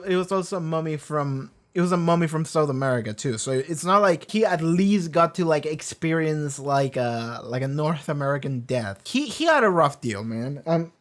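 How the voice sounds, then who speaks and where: Man rambling casually, higher voice. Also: expressive, brisk, few pauses. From Argentina. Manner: loose, swearing, many fillers and restarts. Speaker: reaction video host.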